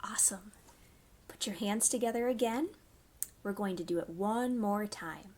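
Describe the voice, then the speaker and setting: A woman hosting a storytime for young children, reading stories and giving simple, clearly phrased instructions. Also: mid-range voice, normal speed, darkish tone, a little hiss or hum. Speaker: children's library storytime host.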